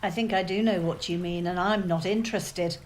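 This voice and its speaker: A woman's voice: lowish voice, fairly even intonation, normal speed, slight background noise, lightly acted, rough recording. An amateur actress playing a prim, genteel lady who is shocked and disbelieving, read as a radio play. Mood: indignant.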